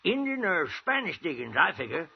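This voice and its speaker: Gravelly, high-pitched old prospector. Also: male voice, big pitch swings, brisk, full character voice. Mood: excited yarn-spinning. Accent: American. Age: older. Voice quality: gravelly, cackling old-timer voice. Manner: folksy, rambling, throat-clearing drawl. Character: toothless, eager old gold prospector of the frontier West. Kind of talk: 1950s radio western acting. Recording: muffled, hissy old radio recording.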